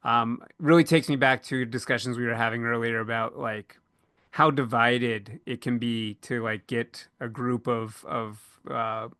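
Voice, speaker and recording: A man with a very bright, forward voice moderates a casual podcast panel. He is recorded close and dry, and he feels his way through sentences with frequent pauses mid-thought. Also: medium pitch, fairly even intonation, no echo. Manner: halting, pausing often mid-thought.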